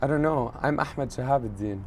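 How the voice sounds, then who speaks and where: A man in his thirties who grew up in Egypt, a journalist telling a personal story in an interview, pausing often to search for words. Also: mid-range voice. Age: 30s. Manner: thoughtful, searching for words, frequent fillers. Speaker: journalist guest.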